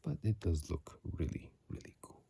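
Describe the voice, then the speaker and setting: A person whispering ASMR commentary about a video game in a husky, breathy, halting way, recorded on a phone microphone. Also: warm tone. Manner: hesitant, halting, repeats words. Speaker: host of an ASMR channel.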